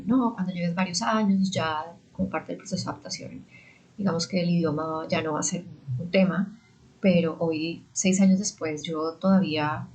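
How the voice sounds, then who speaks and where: A woman hosting an interview podcast, asking questions in a measured, careful way and sharing her own experience. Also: mid-range voice, a little mellow, poor audio. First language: Spanish. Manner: measured, careful, uses fillers. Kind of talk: interview questions with personal anecdotes.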